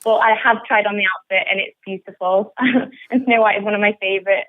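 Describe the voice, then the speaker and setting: A woman's voice: mid-range voice, little pitch movement, a little fast. Upbeat northern English woman on the phone. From northern England. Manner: enthusiastic, upbeat. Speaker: interviewed pantomime actress. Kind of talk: casual radio interview. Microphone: telephone. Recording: muffled, tinny phone line.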